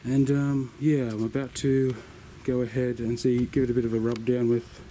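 A man's voice: medium pitch, normal speed, slight background noise. A man casually narrating his off-grid building project outdoors, easygoing with plenty of ums. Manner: relaxed, easygoing, lots of ums and yeahs. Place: outdoors in bushland and a work shed.

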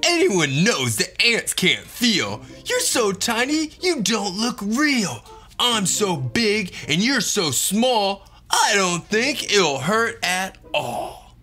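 A man playing a bossy, high-pitched little boy. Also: big pitch swings, takes it slowly, pushed and bright, soft background music, exaggerated voice. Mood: dismissive. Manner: taunting, exaggerated. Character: bossy, scornful little boy threatening to squash an ant. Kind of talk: animated children's storybook read-aloud.

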